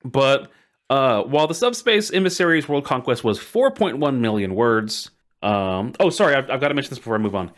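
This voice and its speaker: A man with a medium-pitched voice hosts a casual podcast. He tells stories conversationally and with enthusiasm. He stresses points by repeating words. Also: expressive, fairly quick, a bit bright. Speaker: podcast host. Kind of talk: casual storytelling podcast chat.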